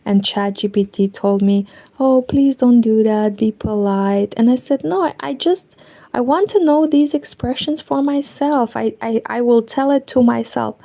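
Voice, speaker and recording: A woman's voice: fairly high pitch, unhurried, gravelly, warm tone. A woman with a Romanian accent chatting casually to viewers while she knits, rambling and often dropping into a whisper. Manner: rambling, talks to herself, drifts into whispers. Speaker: knitting streamer. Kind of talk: casual chat while knitting. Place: home room with curtains.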